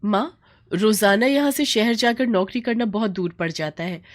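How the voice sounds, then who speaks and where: A woman's voice: medium pitch, animated, normal speed, pitched above the performer's own voice, lightly acted. A woman voicing a headstrong, complaining young working daughter in a storybook tale. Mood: defiant. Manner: insistent, complaining.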